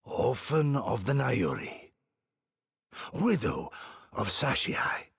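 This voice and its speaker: A man playing a proud, stoic older samurai in a video game, speaking formally and with measured defiance in a gravelly, husky voice. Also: medium pitch, very animated, exaggerated voice. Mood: bitter. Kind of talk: dramatic video game cutscene acting.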